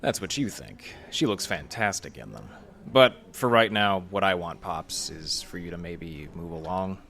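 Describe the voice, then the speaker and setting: A noir radio gumshoe, lowish voice, dry wisecracks, soft hearted, bit of music behind. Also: male voice, animated, normal speed, gravelly, full character voice. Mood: wry. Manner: wry, slangy, sardonic. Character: wisecracking hard-boiled male library detective, dryly soft-hearted. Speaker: lead actor and narrator. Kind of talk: noir radio detective acting and narration.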